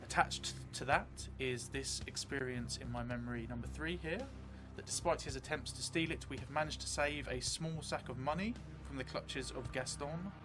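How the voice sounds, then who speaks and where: Middle-aged male game streamer, measured first-person storytelling, thoughtful hesitations, mid-range voice, music clearly underneath. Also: mid-range voice. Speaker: solo role-playing game streamer. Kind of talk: improvised first-person tabletop game narration. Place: small indoor room, seated at a desk. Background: background music.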